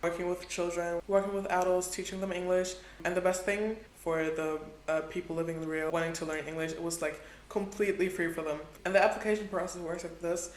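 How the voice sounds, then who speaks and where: A man's voice: sits high, flat delivery, fairly quick, few pauses, slight background noise. A man in his twenties chatting casually in a travel vlog, filling his talk with "like". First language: Portuguese is his second language. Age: 20s. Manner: chatty, heavy use of "like". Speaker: travel vlogger. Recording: echoey room with faint ambience.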